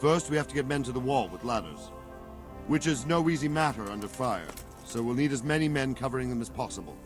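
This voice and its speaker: An actor playing a blunt, gruff middle-aged veteran soldier commander in a video game cutscene, speaking in plain earthy soldier's talk. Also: male voice, medium pitch, animated, brisk, faint music behind, natural voice. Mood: briefing.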